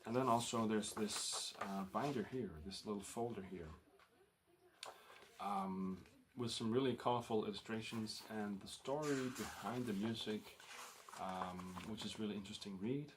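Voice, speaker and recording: Man, Danish accent, lowish voice, rambling casual vlog, lots of fillers. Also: moderate pace, noisy background. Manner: rambling, full of ums and uhs. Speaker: record collector vlogger. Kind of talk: casual record haul vlog.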